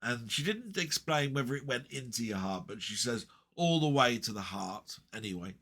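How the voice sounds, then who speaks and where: Guy, bright tone, meandering self-mocking vlog storyteller. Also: medium pitch, lively intonation, moderate pace. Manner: chatty and meandering, with self-deprecating jokes and frequent ums. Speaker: retro gaming vlogger. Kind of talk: rambling personal vlog chat.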